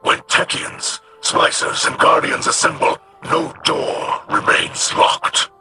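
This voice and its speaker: An actor playing a grave, resolute alien warrior leader in a video game, with a breathy, rasping growl and formal, measured delivery. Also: male voice, natural voice. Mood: grave, indignant. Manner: formal, weighty, measured declarations. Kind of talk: video game mission dialogue. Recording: loud music over the voice.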